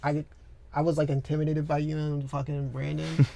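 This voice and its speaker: A young man co-hosting a podcast in a studio, chatting casually in a rambling, animated way with lots of filler words. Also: fairly high pitch, moderate pace, gravelly, no room sound. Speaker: podcast co-host. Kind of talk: casual chat. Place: recording studio. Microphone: studio microphone.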